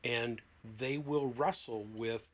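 Bright-voiced man, blunt and emphatic. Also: mid-range voice, fairly even intonation. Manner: emphatic, blunt, rhetorical questions, deliberate pauses. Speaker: therapist, podcast panelist. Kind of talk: conversational podcast talk with acted-out client dialogue.

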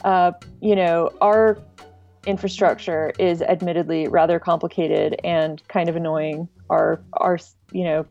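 A woman's voice: lowish voice, fairly even intonation, a little slow, vocal fry, darkish tone, faint music behind. A woman speaking casually as a guest in a podcast interview, on a slightly lo-fi recording. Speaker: podcast guest, online music archive director.

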